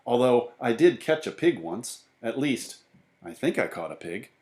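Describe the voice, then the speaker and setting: A man voicing a matter-of-fact, self-important female animal control officer in an earnest, measured way for a storybook. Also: mid-range voice, sing-song, darkish tone, close to an everyday voice.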